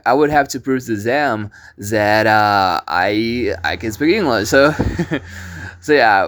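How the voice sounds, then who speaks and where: Rambling Brazilian-born young man. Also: mid-range voice. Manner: rambling, many fillers and restarts; frequent light laughs. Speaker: vlogger and online teacher. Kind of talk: casual vlog monologue.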